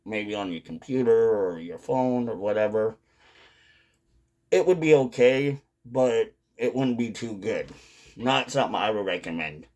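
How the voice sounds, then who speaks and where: A man with a very slow, drawn-out delivery and a medium-pitched voice talks casually to his viewers. He rambles through his commentary with pauses and the odd curse. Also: darkish tone. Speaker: video host, hobby commentator.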